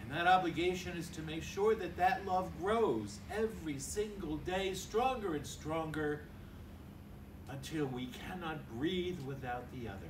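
A man's voice: fairly high pitch, measured pace, noisy background. An older man, a priest, giving a conversational homily at a lectern in a large church hall, filmed from a distance. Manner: conversational, acts out little dialogues. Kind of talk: sermon. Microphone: stand mic at a lectern, filmed from far.

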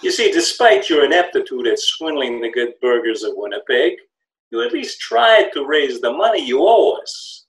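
Older man acting lively, sardonic gangster henchman. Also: mid-range voice, normal speed. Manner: mock-polite, wordy. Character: smooth-talking, sardonic, menacing gangster's henchman. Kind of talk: old-time radio play acting. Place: small home room, video call. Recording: roomy home video call.

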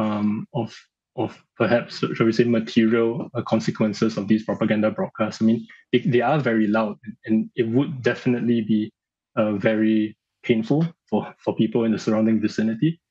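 A man in his thirties giving analysis in a news interview, speaking haltingly and repeating phrases as he searches for words. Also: fairly low pitch, darkish tone, slightly rough. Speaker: analyst guest. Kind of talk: live news interview commentary. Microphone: video call. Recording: slightly dull video-call audio.

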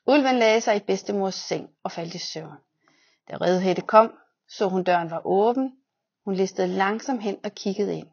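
A young woman, a children's physiotherapist, reading a fairy tale aloud in a large exercise room, lively voices for the characters. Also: mid-range voice, husky, dull audio. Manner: lively voices for the wolf and girl.

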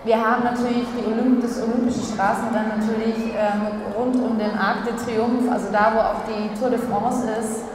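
A woman's voice: a little high, normal speed, few pauses. A woman in her thirties telling stories with enthusiasm as a guest on stage, into a handheld mic in a sports hall. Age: 30s. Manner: lively, enthusiastic storytelling. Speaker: athlete guest. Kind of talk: stage interview. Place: large sports hall, indoors. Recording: distant echoey hall with crowd noise.

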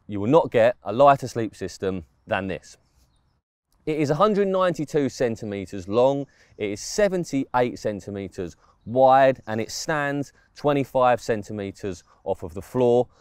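A man's voice: medium pitch, lively intonation, normal speed, a little mellow, clean tone, no room sound. Man, thirties, casual product reviewer, outdoors in woodland, dry close sound. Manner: chatty, informal, explains specs step by step. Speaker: fishing gear reviewer.

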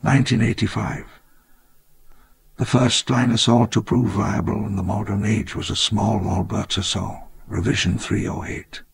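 An older actor playing an elderly, wistful dinosaur-park founder, narrating his memoir in a measured, solemn way. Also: fairly low pitch, level tone, gravelly, slightly rough, exaggerated voice. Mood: reflective. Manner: measured, reflective, grandly solemn. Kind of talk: scripted memoir narration for a game.

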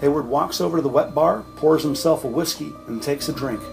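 A man narrating a crime audio drama from a script, measured and descriptive. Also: fairly high pitch, vocal fry. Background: music.

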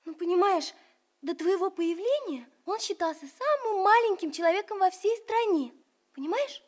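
A worried caring little girl in a radio play, super high childlike voice. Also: expressive, normal speed. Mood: anxious, warning. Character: anxious, caring giant young girl nursing tiny Gulliver. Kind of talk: Russian radio play acting.

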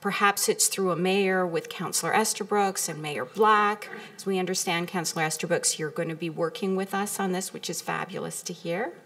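A woman giving a measured, earnest prepared presentation at a council meeting. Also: lowish voice, fairly even intonation, fairly bright tone. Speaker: community health group presenter. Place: council meeting room, indoors. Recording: distant meeting room microphone.

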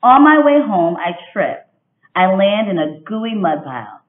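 A woman's voice: medium pitch, unhurried, a little hiss or hum. A moody little girl from a picture book, acted out by a woman tells her story with dramatic emphasis. The intonation swings widely. The sound is roomy and a little dull. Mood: exasperated, dramatic. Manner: expressive, emphatic storybook delivery. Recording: dull, roomy home webcam recording.

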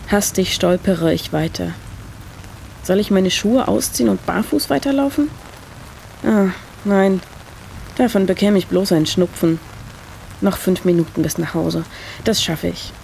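A woman's voice: on the low side, creaky. A woman narrating a scripted first-person short story as a reader. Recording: steady rain noise behind voice. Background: rain sound effect.

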